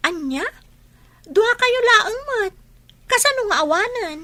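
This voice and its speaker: An actress playing a nosy young cousin with a probing, reproachful manner in a radio drama. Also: female voice, very high-pitched, expressive, measured pace, pushed and bright, heavily acted. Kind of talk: regional radio drama acting.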